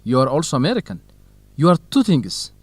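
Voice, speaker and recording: A man's voice: mid-range voice, big pitch swings, brisk, slightly rough, no echo. An actor playing a caring, reassuring young man in an educational radio drama, speaking earnestly.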